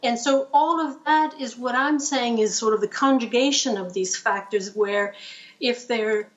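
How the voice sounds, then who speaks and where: Woman researcher, remote panelist, fairly high voice, hesitant, muffled call audio. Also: unhurried. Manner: hesitant, searching for phrasing. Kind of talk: answering an audience question. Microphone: video call. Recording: dull lo-fi video call audio.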